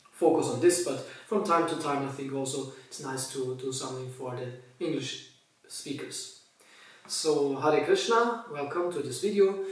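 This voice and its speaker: A man from Austria with an Austrian German accent, a native German speaker, telling his personal story as a monk in a hesitant way, searching for words and restarting sentences. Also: medium pitch. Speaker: Hare Krishna monk. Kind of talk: personal testimony to camera. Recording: echoey room, voice from a distance.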